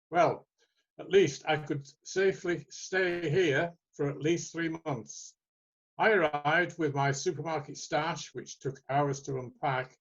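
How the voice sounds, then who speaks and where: A man's voice: fairly high pitch, highs cut off. A man reading his own short story aloud as first-person narration.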